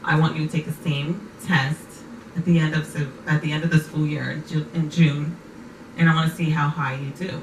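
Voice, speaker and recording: Low gravelly woman hosting a chat. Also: level tone, a little fast. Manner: affirming, repeats words back. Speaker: podcast host. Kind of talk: casual conversation. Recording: echoey room, distant mic, noisy.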